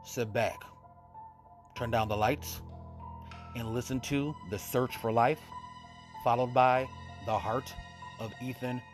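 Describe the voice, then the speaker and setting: A man hosting a horror radio show, giving a scripted introduction and addressing listeners directly and deliberately. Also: medium pitch, fairly even intonation, soft background music. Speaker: host of an old-time radio horror show.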